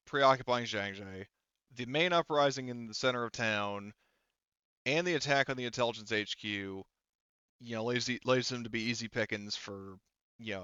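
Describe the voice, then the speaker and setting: Male game master, forceful clear voice, halting self-correcting narration, dry slightly dull recording. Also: medium pitch, dry recording. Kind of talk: improvised tabletop game narration.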